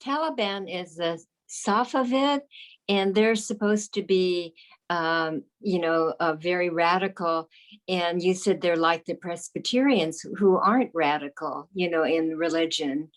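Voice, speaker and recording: A woman from the class puts a question to the speaker. Her voice is fairly low, and she hesitates, filling the gaps with small words as she thinks. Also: a little slow. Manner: hesitant, with fillers. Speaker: class member asking a question. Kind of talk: casual question.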